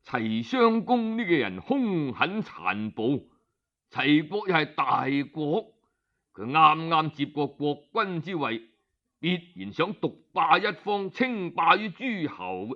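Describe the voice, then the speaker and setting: A man with a Cantonese accent voicing a shrewd old minister in a story, knowing, deliberate and rhetorical. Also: on the high side, very animated, muffled recording, heavily acted. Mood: knowing, scornful.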